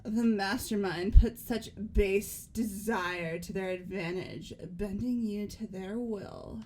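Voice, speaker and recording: A woman playing a poised, coolly resigned young woman in a fan-voiced visual novel, speaking formally and deliberately. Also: mid-range voice, a little mellow. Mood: cool, composed.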